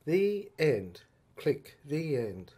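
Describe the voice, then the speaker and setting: A man narrating a serial audio drama in a poetic, brooding style, with halting phrases and pauses. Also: fairly high pitch, very animated, dark tone, husky.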